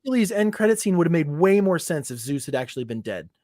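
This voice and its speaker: A man's voice: fairly high pitch, fast, a crowd in the background. A man co-hosting a podcast, talking animatedly about movies in casual chat, swearing often and filling his talk with "like". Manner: animated, heavy swearing, lots of "like". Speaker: podcast co-host.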